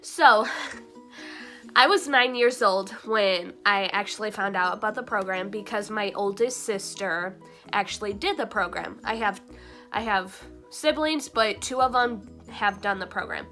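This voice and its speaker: A woman's voice: mid-range voice, a little slow, a bit bright, soft background music. A young adult woman chatting casually to her vlog audience, bubbly and talkative, a little nasal from a recent cold. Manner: bubbly, chatty, frequent fillers and laughs. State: slightly nasal from recovering cold. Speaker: vlogger.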